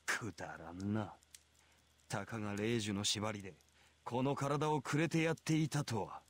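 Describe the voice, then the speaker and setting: A man's voice: on the low side, fairly quick, a bit bright, close to an everyday voice. An actor voicing an arrogant, merciless heroic king in a visual novel, with a haughty, sneering and imperious manner. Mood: cold, contemptuous. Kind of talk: Japanese visual novel voice acting.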